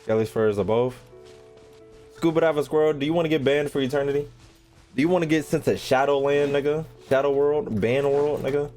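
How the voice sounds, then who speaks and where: A man's voice: on the high side, a little fast, darkish tone, soft background music. A man giving casual live gameplay commentary as a streamer, slangy, profane and joking. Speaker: game streamer.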